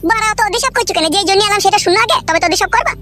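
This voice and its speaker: A woman's voice: very high-pitched, rapid-fire, bright, forceful tone. A woman voicing a crude, whiny, hapless young village man in a comic cartoon, grumbling and complaining. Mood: grumpy, rude. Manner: grumbling, foul-mouthed, whining complaints. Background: music.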